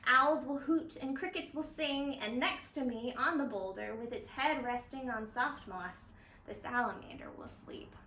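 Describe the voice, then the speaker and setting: A woman's voice: high voice, unhurried, pushed and bright, a little hiss or hum, exaggerated voice. A woman voicing an eager, imaginative young boy from a picture book, earnestly and confidently explaining plans. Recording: roomy echo of a home interior.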